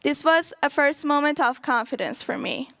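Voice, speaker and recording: A woman's voice: high-pitched, moderate pace, fairly bright tone, dry recording, studio quality. A young woman whose first language is Farsi, giving an earnest prepared speech as a graduating student.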